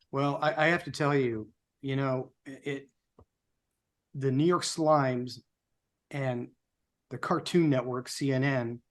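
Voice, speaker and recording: A sixty-year-old American man giving opinionated, rhetorical political commentary as co-host of a live talk show. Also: medium pitch.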